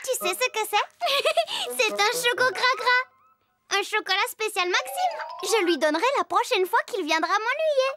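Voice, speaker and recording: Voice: extremely high pitch, sing-song, unhurried, heavily acted. A performer voicing a chatty, mischievous little girl who tells stories straight to the listener in a children's cartoon, with music behind. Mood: playful, chatty. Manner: exclamatory, playful, addresses the listener directly. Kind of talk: children's cartoon storytelling to the viewer.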